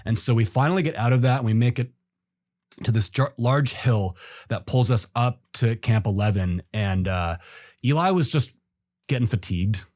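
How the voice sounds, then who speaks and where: A man's voice: medium pitch, moderate pace, darkish tone, no echo, studio quality. A man telling a story as a podcast guest, easygoing and rambling, with lots of fillers and restarts. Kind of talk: casual storytelling in an interview.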